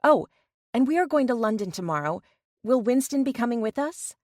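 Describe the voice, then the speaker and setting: A woman voicing an excitable, playful young woman in an audiobook. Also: a little high, a little fast, no echo, clean studio sound. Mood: playful, eager.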